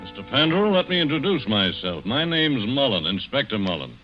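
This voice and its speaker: A man playing a shrewd, lively police inspector. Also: mid-range voice, over music, close to an everyday voice. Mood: firm. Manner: measured, methodical questioning. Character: shrewd male police inspector, fatherly and firm. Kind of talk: 1940s radio acting. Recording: muffled 1940s radio broadcast with faint hiss.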